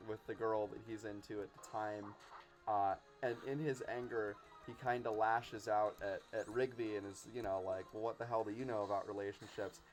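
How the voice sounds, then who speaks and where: A man's voice: fairly low pitch, a little mellow. A man in an enthusiastic, rambling, casual chat as a podcast guest. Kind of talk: casual chat about cartoons. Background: game music.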